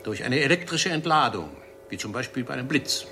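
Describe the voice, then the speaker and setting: An actor playing an earnest, methodical radio scientist in a 1960s German radio play, explaining in a businesslike way. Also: male voice, medium pitch, animated, fairly quick, pushed and bright, a little hiss or hum.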